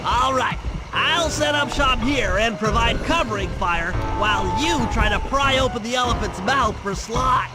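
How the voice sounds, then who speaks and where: A man's voice: very high-pitched, normal speed, poor audio. A man voicing a nerdy, meticulous turtle who plans for a gang in a cartoon video game, with a nasal voice and precise, technical delivery. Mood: businesslike briefing. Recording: music behind the voice.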